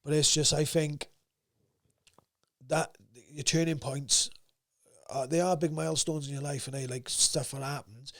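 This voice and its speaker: A young man with a South Wales valleys accent and a gruff voice, talking bluntly and swearing freely as a podcast guest. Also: on the high side, steady tone, measured pace, fairly bright tone. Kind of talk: casual interview chat.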